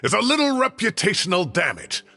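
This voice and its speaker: Grumpy old retired professor, gravelly, bright tone, pitch swooping all over, faint music behind. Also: male voice, very animated, fairly quick, exaggerated voice. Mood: indignant. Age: older. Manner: grumbling, theatrical and huffy. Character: fussy retired male professor, older, indignant. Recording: game audio with faint music.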